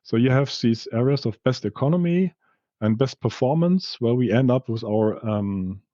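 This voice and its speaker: Male researcher, non-native English, mellow relaxed voice, halting technical explanation with slides, slightly dull recording. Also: mid-range voice, dry recording. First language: not English. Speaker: researcher presenting study results. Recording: slightly dull, dry lo-fi audio.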